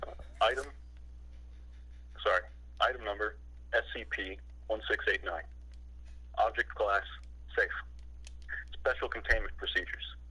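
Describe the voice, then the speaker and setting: A young man talking casually, then reading aloud in a halting, self-conscious way over a voice call. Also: lowish voice, pushed and bright, husky, poor audio. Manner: hesitant, stumbling, self-conscious. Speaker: reader of creepypasta-style logs.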